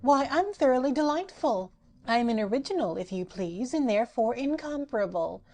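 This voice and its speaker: A woman's voice: fairly high pitch, animated, normal speed, warm tone, voice pushed up. A woman voicing a giddy, boastful female patchwork rag doll who chants sing-song rhymes. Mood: gleeful.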